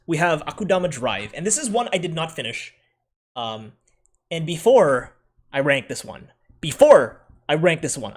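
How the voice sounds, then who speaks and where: A man with an American accent, raised in the Philippines, casually ranking anime in a rambling way, full of fillers and self-corrections. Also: a little high. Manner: laughs often while talking.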